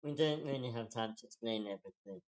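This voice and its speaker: A man's voice: mid-range voice, fast, natural voice. A man playing a stubborn, self-sacrificing survivor in a screenplay table read, terse and understated. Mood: firm.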